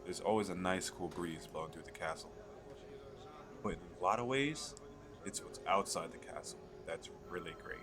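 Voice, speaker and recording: A man playing an eager, chatty fantasy merchant in an ASMR roleplay, pitching his magical wares to the listener in a coaxing, conversational way. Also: moderate pace, over music, close to an everyday voice. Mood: proud, enthusiastic. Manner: coaxing salesman, conversational, hesitant asides.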